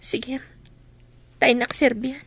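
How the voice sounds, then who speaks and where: A woman's voice: high-pitched, flat delivery, slow pace, gravelly, fairly bright tone, slightly rough, no echo, exaggerated voice. A woman playing a sad, burdened young waitress with a polite manner in a radio drama. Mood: sad, prayerful. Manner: sorrowful, polite.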